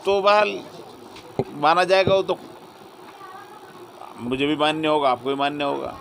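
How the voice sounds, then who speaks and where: A male cleric answering in an interview, speaking reflectively in short phrases with pauses. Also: a little high, creaky, fairly bright tone, poor audio. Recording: faint vehicle and ambient noise.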